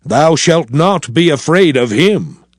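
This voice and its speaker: An actor playing a solemn lawgiver prophet who commands his people in measured, declamatory archaic scripture. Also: on the high side, animated, dry recording, studio quality. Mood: grave warning. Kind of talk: dramatized scripture reading.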